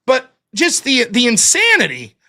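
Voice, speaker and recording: A man's voice: very high-pitched, huge pitch swings, a little slow, sharp tone. A man hosting a solo political commentary livestream, explaining a legal reading point by point in an argumentative, emphatic way. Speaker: livestream show host.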